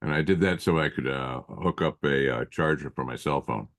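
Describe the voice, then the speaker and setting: A man speaks in a very deep voice full of gravelly fry. He chats informally on a group call, with halting phrases. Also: a bit bright. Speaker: club member. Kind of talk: casual group discussion. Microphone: conference call.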